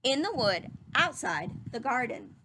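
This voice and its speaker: A woman's voice: fairly high pitch, sing-song, a little slow, background noise. A woman reading a children's story aloud in a teacherly, explanatory way, as if speaking to young listeners.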